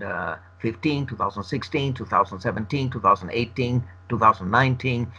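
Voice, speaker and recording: A man whose first language is Spanish gives a long interview answer, arguing in a measured, emphatic way. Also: mid-range voice, sharp tone, breathy edge. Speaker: interview guest. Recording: dull lo-fi recording.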